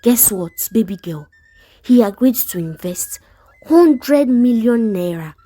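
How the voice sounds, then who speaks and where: Storyteller woman playing greedy young female scammer, Nigerian accent, cocky, persuasive, lively swooping pitch, folktale. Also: slow pace. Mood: excited, insistent.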